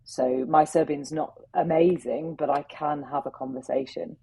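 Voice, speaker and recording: A woman with a low, gravelly voice and a dark, husky tone. She answers interview questions in an earnest, thoughtful way, letting her sentences run on as she works through her ideas. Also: fairly even intonation, normal speed. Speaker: interview guest, facilitator. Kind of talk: reflective conversational interview answers.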